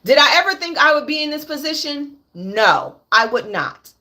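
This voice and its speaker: A woman's voice: high-pitched, sing-song, normal speed, pushed and bright. A woman in her late thirties speaking on a candid livestream, blunt and profane, repeating her points for emphasis. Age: 30s. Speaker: livestream host.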